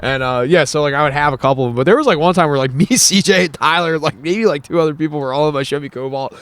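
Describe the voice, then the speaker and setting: A man in his thirties from New Jersey, co-hosting a podcast with animated, profane storytelling while smoking a cigar. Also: fairly high pitch, fast, dry recording. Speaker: podcast co-host. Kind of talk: casual chat.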